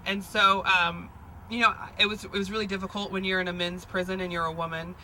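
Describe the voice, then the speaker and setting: A woman with a soft, feminine voice giving an earnest, hesitant speech at an outdoor rally, with frequent ums. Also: mid-range voice, little pitch movement, fairly quick, low-quality recording. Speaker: rally speaker. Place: outdoors at a rally near roadway. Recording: outdoor rally with traffic noise. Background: passing cars.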